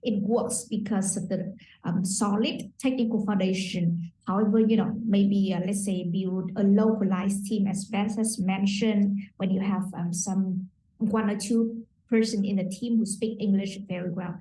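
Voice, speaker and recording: Brief: female moderator, mellow tone, hesitant fillers, roomy and distant recording. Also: medium pitch, steady tone, unhurried, a little hiss or hum. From Vietnam. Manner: hesitant, many "um" fillers while shaping questions. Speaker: host, moderator. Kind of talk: moderating a panel discussion.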